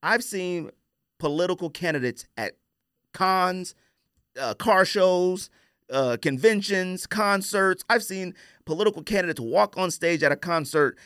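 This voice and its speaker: A man's voice: high voice, unhurried, a bit bright, clear, resonant tone, dry recording, studio quality. A man hosting a podcast, telling stories in a casual, colloquial, opinionated way and acting out quoted exchanges. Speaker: podcast host.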